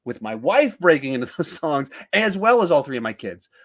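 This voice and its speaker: A man's voice: fairly high pitch, sing-song, brisk, a bit bright. A man, hosting a podcast and talking film in a casual, conversational style. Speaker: podcast host.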